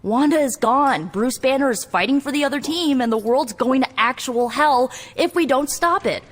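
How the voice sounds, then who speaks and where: A woman's voice: high-pitched, expressive, moderate pace. A woman playing an eager, earnest young female superhero in a video game cutscene, chatty and insistently optimistic. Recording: long hall reverb, music behind.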